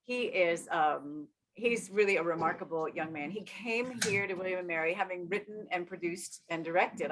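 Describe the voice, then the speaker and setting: A woman's voice: fairly low pitch, fairly bright tone. A middle-aged woman, a music professor, speaking earnestly and heartfelt on a panel, introducing a student. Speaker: music professor and choir director. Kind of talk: panel discussion.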